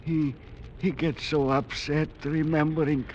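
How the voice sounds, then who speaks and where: Male actor as solemn villager with grim warnings; very slow delivery, noisy old radio sound. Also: fairly high pitch, little pitch movement, darkish tone, lo-fi sound, lightly acted. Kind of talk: 1940s radio acting.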